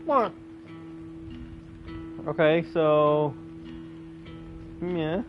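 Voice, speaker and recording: A game player comments casually while playing. The delivery is slow and the sound is dull and lo-fi, with music clearly behind. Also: mid-range voice. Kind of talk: casual gameplay reaction commentary.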